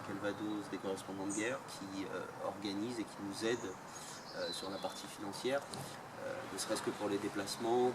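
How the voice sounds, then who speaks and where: A man being interviewed about his photojournalism project, answering at length with frequent hesitations. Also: lowish voice. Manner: hesitant, many fillers. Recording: distant mic, loud outdoor noise.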